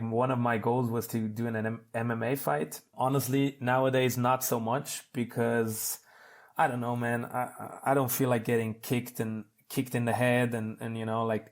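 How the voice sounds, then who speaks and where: A man of thirty from Switzerland talking informally and in a rambling, conversational way as a podcast guest. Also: medium pitch. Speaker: podcast guest, competitive jiu-jitsu athlete. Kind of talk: casual interview chat.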